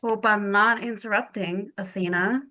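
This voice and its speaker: A woman playing a stern, coolly threatening medical colleague with a deliberate, pointed delivery at a drama table read. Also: mid-range voice, steady tone, pushed and bright, natural voice. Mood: coolly polite.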